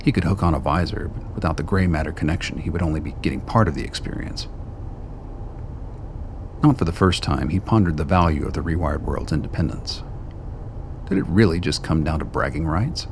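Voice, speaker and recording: A man narrating a scripted radio drama in a measured, wry storytelling manner. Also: extremely low pitch, slight background noise.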